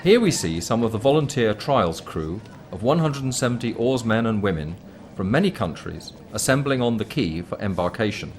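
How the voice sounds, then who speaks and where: A man narrates a documentary in a fairly low voice with lively, varied intonation. His delivery is measured, formal and explanatory, read from a script. There is clear background noise on the recording.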